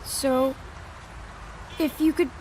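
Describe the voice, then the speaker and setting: A woman's voice: high-pitched, steady tone, extremely slow, a little mellow, slight background noise, poor audio. A woman voicing an earnest, polite young child in a video game, speaking carefully and a little hesitantly. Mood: earnest pleading.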